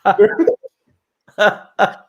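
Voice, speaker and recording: A man hosting a casual interview chat, talkative and quick to laugh, often restarting his sentences. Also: background noise. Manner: chatty and warm, laughs often, many restarts. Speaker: podcast host.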